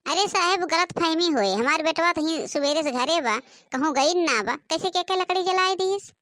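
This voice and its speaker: A woman acting out a defiant cartoon villager with an Awadhi accent. The voice is very high and closely recorded, with a dry sound, and argues in a scolding, sharp-tongued way. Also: expressive, normal speed, dry recording. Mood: protesting. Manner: scolding, argumentative. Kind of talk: comic cartoon dialogue.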